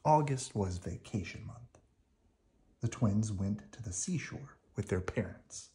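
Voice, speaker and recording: A man narrating a children's picture book in an expressive read-aloud style. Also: lowish voice, unhurried.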